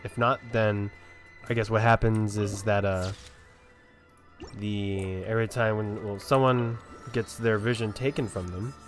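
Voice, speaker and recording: A man commentates casually on a game he is playing. His voice is a little low, informal and rambling, with faint music behind it. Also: fairly even intonation, measured pace. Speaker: game streamer. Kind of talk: casual let's play commentary.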